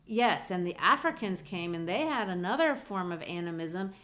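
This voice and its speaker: A woman's voice: on the low side, lively intonation, normal speed, fairly bright tone. A woman, co-hosting a literary podcast and explaining things in an earnest way. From grew up in Brazil. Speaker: podcast co-host.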